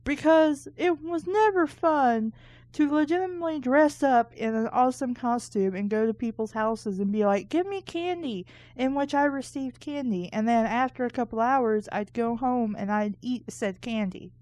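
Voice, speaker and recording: A woman's voice: on the high side, normal speed, darkish tone, no room sound. Dry-sounding, irreverent woman reading aloud casually. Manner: irreverent, sarcastic, mildly crude commentary. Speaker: reader and reviewer of children's books. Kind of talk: casual book read-aloud with joking asides.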